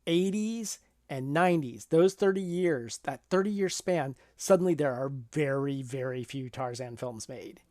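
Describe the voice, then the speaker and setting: A man co-hosting a casual film discussion podcast, explaining things in a halting way. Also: mid-range voice, darkish tone, no echo, studio quality. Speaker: podcast co-host.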